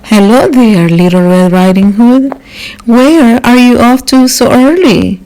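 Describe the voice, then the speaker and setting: A woman voicing a sly, hungry male wolf in a children's storybook, smooth-talking and falsely friendly. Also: on the high side, expressive, unhurried, mellow, low-quality recording.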